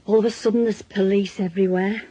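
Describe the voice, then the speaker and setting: Husky, mellow Northern English mother played by an actress. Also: mid-range voice, steady tone, fast, no echo, lightly acted, rough recording. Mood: troubled, confiding. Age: middle-aged. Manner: colloquial, world-weary, confiding. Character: worried, plain-speaking working-class mother and wife. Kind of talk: naturalistic radio drama, monologue and dialogue.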